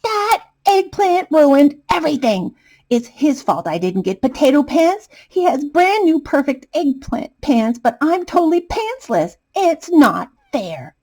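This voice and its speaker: A woman voicing an excitable, dramatic, panicky male potato who wails and exclaims, in a children's storybook reading. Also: sits high, a little slow, voice pushed up, full character voice. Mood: indignant whining. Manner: wailing, exclaiming, melodramatic.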